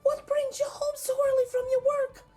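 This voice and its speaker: A woman's voice: very high-pitched, little pitch movement, moderate pace, warm tone, soft background music, pitched above the performer's own voice. A woman voicing a tender but firm giant's wife from a storybook folktale, coaxing as she talks. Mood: sweet, welcoming. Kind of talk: storybook character voice.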